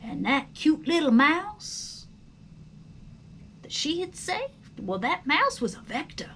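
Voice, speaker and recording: Woman reading a story out loud, really expressive, takes her time, some background noise. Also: sits high, takes it slowly. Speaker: storybook reader. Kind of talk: expressive, dramatic storybook narration.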